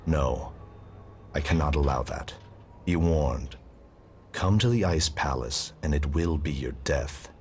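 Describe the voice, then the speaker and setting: A man's voice: rumbling low, takes it slowly, long pauses, a little mellow. A man playing a stern, menacing, loyal zealot in a sci-fi game, speaking in a severe and formal manner. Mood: stern, threatening. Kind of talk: dramatic video game acting. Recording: faint ambient noise.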